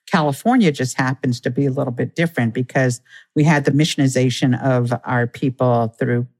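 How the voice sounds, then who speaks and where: An earnest man is an interview guest who lays out his points in a steady, explanatory way. His voice sits at a middling pitch for a man, and he speaks calmly and with conviction. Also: a little fast. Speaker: guest, Native community organizer. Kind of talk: conversational interview.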